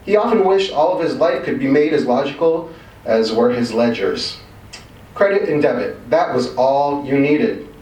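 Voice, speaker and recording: A man reading a short story aloud expressively, with wry, measured and emphatic delivery. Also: mid-range voice, lively intonation, darkish tone. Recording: roomy, distant mic, faint background noise.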